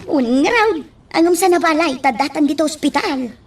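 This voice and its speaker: An actress playing a fretful middle-aged housekeeper in a radio drama, full of exclamations. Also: female voice, sits high, expressive, moderate pace, far from the mic. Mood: worried. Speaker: actor. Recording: faint background noise.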